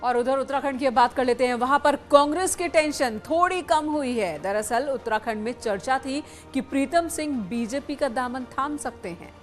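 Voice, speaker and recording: A woman's voice: sits high, normal speed, hardly any pauses, faint music behind. A woman anchoring a news bulletin with scripted presentation.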